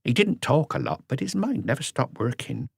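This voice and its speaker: A man's voice: medium pitch, big pitch swings, moderate pace, creaky, husky, no echo, studio quality, pitched above the performer's own voice, exaggerated voice. A man voicing a wary, proud elderly mother with muttered, defiant retorts in an audiobook. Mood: wistful, proud. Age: older. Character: sharp-tongued elderly mother, wary, proud of her son. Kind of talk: audiobook character voice.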